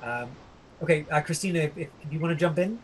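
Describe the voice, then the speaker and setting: A man with a husky voice working as an acting coach, giving an informal online lesson in a halting, rambling way. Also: fairly high pitch, slight background noise, rough recording. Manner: halting, rambling explanations full of tangents. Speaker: acting coach teaching a class.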